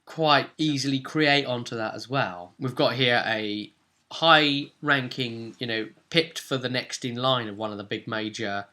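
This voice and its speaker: A man's voice: medium pitch, a little slow, pushed and bright. A man casually recapping a tabletop role-play session as game master, rambling along and often seeking agreement with tag questions.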